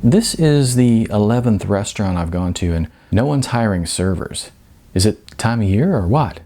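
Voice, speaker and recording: A man's voice: fairly low pitch, expressive, normal speed, dark tone. A man voicing a naive, puzzled young aspiring actor in an audiobook, hesitant and questioning. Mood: polite, unsure.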